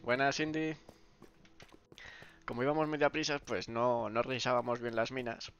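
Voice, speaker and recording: A man's voice: little pitch movement, moderate pace, a bit bright, clear, resonant tone, highs cut off. Congested man streaming a game. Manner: rambling, many restarts, low mumbled asides. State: congested, sore throat. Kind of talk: casual live-stream chat.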